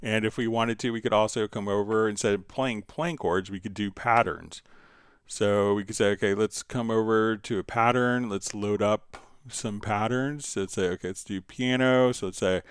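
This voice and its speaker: A man hosting a casual software tutorial, talking through each step as he demonstrates it. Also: lowish voice, steady tone, normal speed, clear, resonant tone, no room sound. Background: musical instrument playing.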